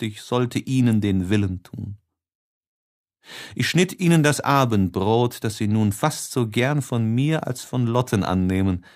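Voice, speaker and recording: A man narrating a classic novel as an audiobook, reading expressively with deliberate, dramatic phrasing. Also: medium pitch.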